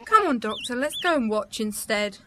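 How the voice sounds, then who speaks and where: A woman's voice: on the high side, lively intonation, normal speed, natural voice. Romana, a woman playing a dry exasperated companion. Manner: dry, crisp, scolding. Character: dry, exasperated female Time Lord companion. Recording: noisy amateur recording.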